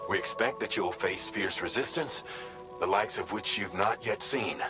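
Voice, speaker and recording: A man's voice: on the low side, normal speed, music in the background, lightly acted. A man playing a composed military operations commander in a sci-fi game, giving an orderly, formal mission briefing. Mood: composed, instructive.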